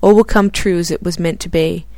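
Serene mystical forest woman read by a woman storyteller: low, calm, forgiving. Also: a little fast, no echo, natural voice.

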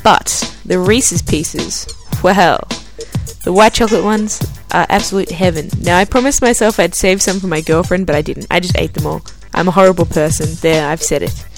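Australian woman podcast host, lower voice, casual and self-deprecating, reads fiction aloud. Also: brisk, over music. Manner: chatty and self-deprecating, with filler words. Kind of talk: casual chat, then reading a novel aloud.

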